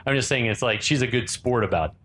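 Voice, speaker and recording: Male podcast co-host, dry jokey commentary, relaxed restarts, faint background rumble. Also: quick pace. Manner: wry, joking asides, restarts sentences. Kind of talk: casual movie recap chat.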